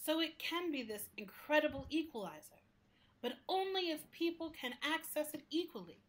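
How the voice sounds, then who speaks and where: A woman's voice: high-pitched, expressive, moderate pace. A woman playing an earnest, caring library building that talks like a person in a conversational radio play. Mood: thoughtful, passionate. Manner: earnest, reflective, politely opinionated. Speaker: actor playing the interview guest.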